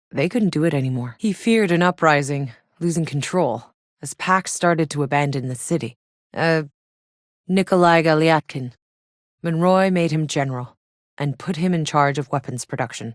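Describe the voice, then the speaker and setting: A woman's voice: sits low, no room sound, clean studio sound, lightly acted. An actress playing a tough, sardonic female soldier and explorer in a sci-fi video game, blunt and matter-of-fact. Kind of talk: scripted video game character voice lines.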